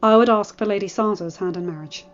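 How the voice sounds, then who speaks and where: A woman's voice: medium pitch, fast talker, close to an everyday voice. A woman voicing an earnest young lord. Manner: formal, earnest. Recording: dull audio with faint music.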